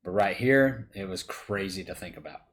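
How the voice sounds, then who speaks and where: A man's voice: sits low, moderate pace, a bit bright, a little hiss or hum. A middle-aged man hosting, chatting casually and teasingly with his son while they watch wrestling footage. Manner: teasing, chatty, laughs easily.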